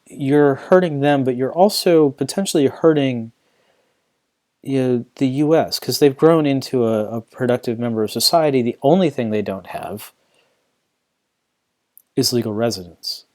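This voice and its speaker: A man's voice: medium pitch, normal speed, darkish tone. A man hosting a podcast, talking through his views in a loose, rambling way with frequent restarts and fillers. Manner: rambling, many restarts and "you know" fillers. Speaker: podcast host. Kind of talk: casual solo podcast commentary.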